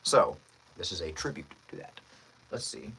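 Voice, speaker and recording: A man's voice: low-pitched, lively intonation, moderate pace, creaky, fairly bright tone, slightly rough, low-quality recording. A man chatting casually and friendly with viewers as a voice actor on a livestream, thinking aloud as he goes.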